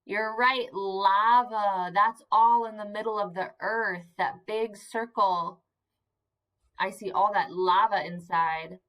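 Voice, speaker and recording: Slow-paced woman teaching young children. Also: on the high side, low-quality recording. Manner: encouraging, asks questions and pauses for answers; expressive, simple child-directed delivery with emphasized words. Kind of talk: interactive read-aloud lesson for young children.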